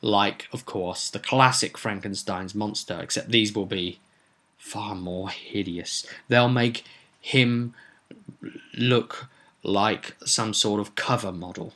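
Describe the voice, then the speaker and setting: A man with a fairly low voice speaks as a game master giving a casual recap. He rambles conversationally and leans on certain words for dramatic weight. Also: fairly even intonation, takes it slowly, sharp tone. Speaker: game master recapping own tabletop roleplay session. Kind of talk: casual vlog recap to viewers.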